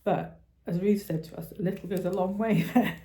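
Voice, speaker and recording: A woman's voice: medium pitch, fairly even intonation, fairly quick, dark tone. A woman co-hosting a podcast in casual chat, talking in bursts with frequent giggles and restarts. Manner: chatty, giggly, trails off and restarts. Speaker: podcast co-host. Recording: roomy echo.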